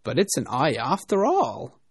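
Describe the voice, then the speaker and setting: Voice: mid-range voice, huge pitch swings, unhurried, husky, dry recording, studio quality, heavily acted. A narrator voicing a meek, deferential male animal servant who pleads reluctantly in a storybook reading.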